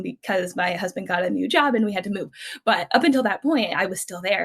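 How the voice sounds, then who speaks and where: A woman's voice: medium pitch, gravelly, fairly bright tone, husky. A woman in her thirties from California, a podcast guest telling family stories in a chatty, rambling way. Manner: chatty, many restarts and fillers, laughs easily. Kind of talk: casual conversational storytelling.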